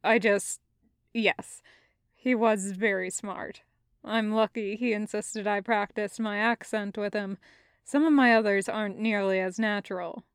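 A woman voicing a reserved, polite man with dry humour and a soft-spoken, measured delivery in an audiobook-style story. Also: mid-range voice, fairly even intonation, clear, resonant tone, no echo, pitched below the performer's own voice, lightly acted. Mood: sheepish.

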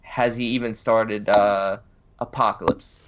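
A man's voice: lowish voice, fairly even intonation, measured pace, lo-fi sound. A man hosting a casual podcast, reading out listener questions and laughing easily. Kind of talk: casual chat.

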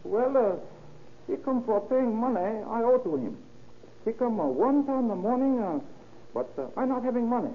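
A man's voice: extremely high pitch, sing-song, moderate pace. An actor playing a polite, nervous Japanese immigrant man, speaking hesitant broken English with a Japanese accent in an old radio drama. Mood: hesitant, deferential. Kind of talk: old-time radio acting. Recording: noisy, tinny old radio recording.

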